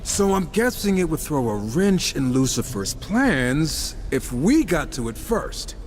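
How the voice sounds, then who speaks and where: A man's voice: on the high side, big pitch swings, unhurried, a little hiss or hum. An actor playing a cocky, irreverent young horseman in a video game, casual, teasing and sarcastic. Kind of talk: video game cutscene acting.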